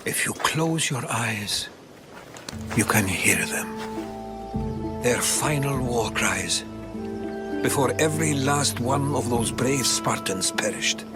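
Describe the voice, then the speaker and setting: An actor playing a wise Greek historian and mentor in a video game, solemn, eloquent and deliberate. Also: male voice, mid-range voice, animated, over loud music. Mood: reverent. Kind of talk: video game cutscene acting.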